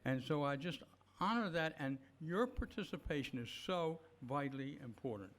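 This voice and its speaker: Higher-voiced man addressing a church congregation. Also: animated, normal speed, fairly bright tone. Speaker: church service leader giving blessings and announcements. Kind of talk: church blessing and announcements.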